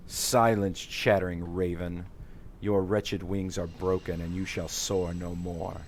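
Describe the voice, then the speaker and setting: A man's voice: low voice, flat delivery, measured pace, slight background noise. A man voicing a merciless, imperious villain from a fantasy game, speaking in solemn, archaic phrases. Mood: scornful.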